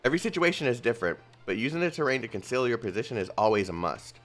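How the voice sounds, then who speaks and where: A calm male squad leader lays out the infiltration plan, read by a man in his own plain voice. The delivery is steady and instructive, with a lively rise and fall. Faint music plays under it. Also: mid-range voice, very fast, natural voice.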